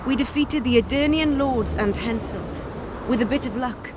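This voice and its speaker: A woman's voice: fairly high pitch, background noise, close to an everyday voice. A woman voicing a regal dragon in human form. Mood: composed. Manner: measured, composed, slightly formal. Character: dragon posing as a young woman, calm and regal leader. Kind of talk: video game cutscene dialogue. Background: loud orchestral game score.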